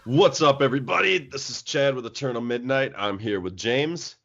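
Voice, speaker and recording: A man's voice: medium pitch, normal speed, vocal fry, fairly bright tone, highs cut off. A man co-hosting a casual podcast chat about movies, rambling with many restarts. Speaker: podcast co-host.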